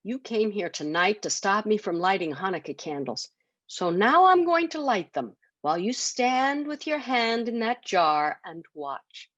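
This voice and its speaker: Woman as clever trickster man, storybook, calm, teasing, animated intonation, dry. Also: medium pitch, measured pace, no echo, lo-fi sound. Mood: gloating. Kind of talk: children's storybook character voice.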